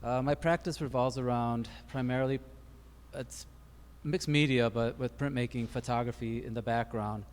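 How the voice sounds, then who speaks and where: A man's voice: mid-range voice, fairly even intonation, normal speed, clear, resonant tone, in a large hall. A young man, an art student, hesitantly introducing his work on a panel, with frequent uhs and ums. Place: art gallery.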